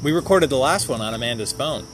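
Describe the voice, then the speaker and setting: A man's voice: mid-range voice, animated, fast, a bit bright, soft background music, low-quality recording. A man co-hosting a podcast with casual, joking chat. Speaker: podcast co-host.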